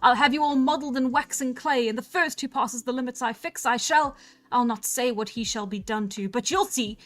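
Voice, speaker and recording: Storybook role: scornful young wife with a witchy streak, read by a woman. Haughty, mock-malignant, high female pitch. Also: normal speed, a bit bright, lightly acted. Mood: mock menace.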